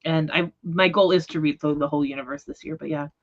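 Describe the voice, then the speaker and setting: Low-pitched woman chatting about books, slightly muffled. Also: fairly even intonation, quick pace. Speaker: book readalong livestream host. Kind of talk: casual book discussion chat. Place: online livestream.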